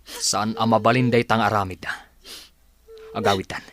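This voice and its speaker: A man's voice: on the low side, steady tone, normal speed, a bit bright, lightly acted. A man acting as a concerned, questioning young father in a radio drama.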